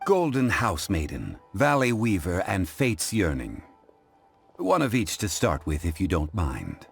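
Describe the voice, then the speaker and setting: A deep-voiced, dignified gentleman scholar speaks with formal, measured courtesy. His lecturing tone is composed yet richly inflected, in the style of an anime game, with music underneath. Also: wildly swooping pitch, vocal fry, exaggerated voice. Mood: erudite, courteous. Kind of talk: English anime game dub acting.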